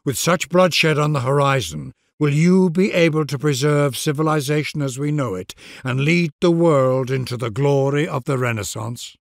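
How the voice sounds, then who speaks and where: A man narrating a strategy game scenario in a grave, measured storyteller delivery. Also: a little high, creaky, dry recording. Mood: solemn. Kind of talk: scripted dramatic narration.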